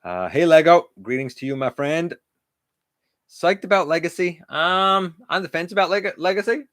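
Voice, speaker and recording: Loud-voiced man chatting on a livestream. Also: on the high side, lively intonation, normal speed, no room sound, studio quality. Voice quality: loud voice that carries. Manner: chatty, reads out viewer comments and answers them. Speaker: livestream host; teacher. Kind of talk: casual live-stream chat with viewers.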